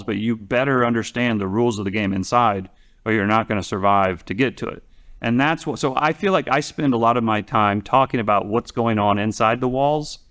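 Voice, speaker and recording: A man speaking as a podcast commentator, explaining things conversationally in a rambling way, often restarting and repeating phrases for emphasis. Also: medium pitch.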